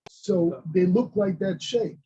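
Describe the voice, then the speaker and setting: A man's voice: sits high, slow, a crowd in the background. A man with an accent casually explaining a medical story in a rambling way. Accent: non-native English. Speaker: study partner. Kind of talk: casual chat. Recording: dull lo-fi sound.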